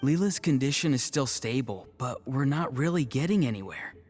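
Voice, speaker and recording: A man's voice: medium pitch, lively intonation, normal speed, a little mellow, lightly acted. An actor playing a mild, polite and dutiful young man in a video game cutscene. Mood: sober reporting. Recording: music behind the voice. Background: background music.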